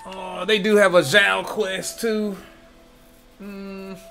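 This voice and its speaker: High-pitched man doing casual game commentary. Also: flat delivery, slow pace, vocal fry, pushed and bright, soft background music. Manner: informal, thinking aloud. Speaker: gaming streamer. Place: behind: game music.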